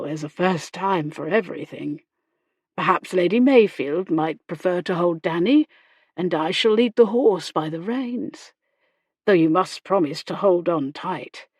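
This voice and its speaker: A woman voicing a gentle, gallant gentleman in an audiobook, with polite, formal, soothing phrasing. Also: lowish voice, dry recording, clean studio sound. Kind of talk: audiobook character dialogue.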